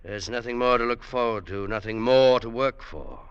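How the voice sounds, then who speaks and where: A man's voice: mid-range voice, normal speed, clean tone, muffled recording, close to an everyday voice. An actor playing a world-weary, secretive widowed businessman, brooding and hesitant, in old-time radio drama style. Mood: restless, resolved.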